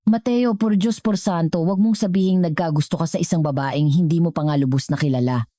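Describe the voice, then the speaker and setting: A woman's voice: lowish voice, a little fast, mellow, dry recording, studio quality, close to an everyday voice. A Filipino-accented woman voicing an incredulous, scolding male business partner, exasperated and disbelieving in storybook dialogue.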